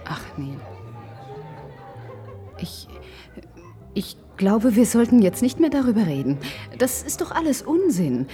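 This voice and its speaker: Lebhafte, herablassende Frau. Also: female voice, on the high side, sing-song, unhurried, pauses often, mellow, soft background music. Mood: dismissive. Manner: emphatic, impatient, scornful sighing exclamations. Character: dismissive, comfort-loving woman Pat from an orderly future society. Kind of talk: German science-fiction radio play acting.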